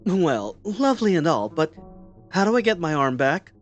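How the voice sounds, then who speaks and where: Guy acting curious bookish fantasy adventurer, super swingy expressive voice, faint music behind. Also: fairly high pitch, moderate pace. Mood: amazed. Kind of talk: fantasy audio roleplay acting.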